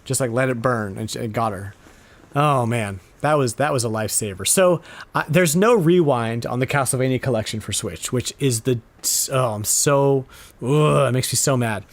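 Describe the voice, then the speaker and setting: A man hosting a casual video game podcast, talking with animated, exasperated emphasis. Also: medium pitch, normal speed. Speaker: podcast host.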